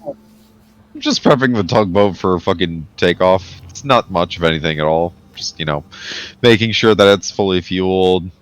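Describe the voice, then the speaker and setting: A man's voice: low voice, normal speed. A man chatting casually as a player in a tabletop role-playing game.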